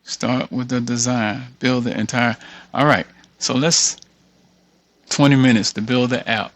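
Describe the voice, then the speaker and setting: A man's voice: mid-range voice, fairly even intonation, measured pace, a bit bright, no echo. A man giving an informal screen-share walkthrough, thinking aloud and muttering as he reads text off the screen. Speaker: tutorial creator.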